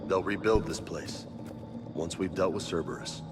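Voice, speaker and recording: Low-voiced steady male soldier played by an actor. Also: moderate pace, music in the background, natural voice. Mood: grimly reassuring. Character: steady, plain-spoken male soldier squadmate. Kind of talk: video game voice acting.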